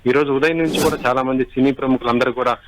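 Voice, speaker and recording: Man, field reporter, rapid speech, medium pitch, halting repetitive live delivery. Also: steady tone, rough recording. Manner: halting, repetitive, restarts words. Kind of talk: live unscripted news report.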